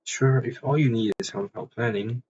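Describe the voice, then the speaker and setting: A man's voice: mid-range voice, moderate pace, lo-fi sound, a crowd in the background. A man streaming a game and reading its dialogue lines aloud.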